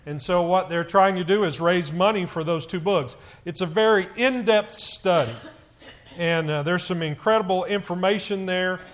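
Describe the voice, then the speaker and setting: A middle-aged man, a church elder, speaking loudly to the congregation and stumbling with frequent fillers. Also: sits high. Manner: loud voice, halting with many fillers.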